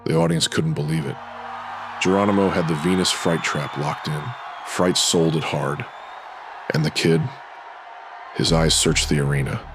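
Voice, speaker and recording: A man narrating a horror anthology in an ominous, measured pulp radio-drama style, in short dramatic phrases. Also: extremely low pitch, fairly even intonation, a crowd in the background. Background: music.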